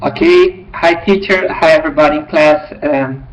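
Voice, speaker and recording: A man in his forties with a Brazilian Portuguese accent, speaking as a student in halting classroom English with many fillers. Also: a little high, a little hiss or hum, rough recording. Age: 40s.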